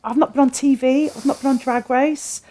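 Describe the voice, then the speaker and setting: A woman's voice: sits high, fairly even intonation, moderate pace, mellow. A middle-aged woman, a cabaret performer, talking frankly and animatedly as a guest in a small studio interview. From Britain. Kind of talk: candid interview chat. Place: small studio set, seated on sofa.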